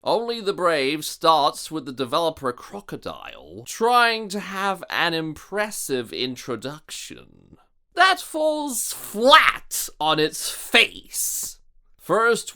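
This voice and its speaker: A man's voice: fairly high pitch, wildly swooping pitch, slow pace, pushed and bright, clean tone. A man hosting a scripted video review in a theatrical, mocking manner. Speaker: host of a video review series.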